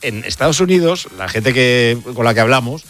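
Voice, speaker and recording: Relaxed male radio host, faint music. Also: medium pitch, normal speed. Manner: relaxed, joking, with many fillers. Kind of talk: casual radio chat.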